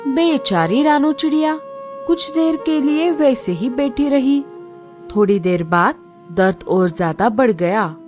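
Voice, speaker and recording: A woman narrating a children's cartoon story in an expressive storytelling style. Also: sits high, measured pace, soft background music.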